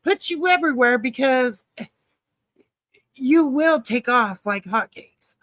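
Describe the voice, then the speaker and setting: Woman hosting a livestream, high voice, dry close sound, playful and giggly. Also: lively intonation, slow, a bit bright, dry recording. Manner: laughs often, playful and chatty. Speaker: host. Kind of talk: casual livestream chat.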